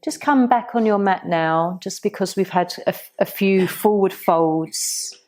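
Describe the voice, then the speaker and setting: A woman teaching a yoga class, guiding the poses and breathing in a soft, soothing, unhurried way. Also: mid-range voice. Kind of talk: guided yoga class instruction.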